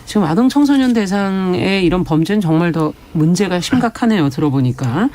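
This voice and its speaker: A woman's voice: lowish voice, expressive, brisk, warm tone. A woman hosting a radio current-affairs discussion, summing up and putting questions to her guests. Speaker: radio host, announcer. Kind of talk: current-affairs interviewing with frequent short acknowledgements.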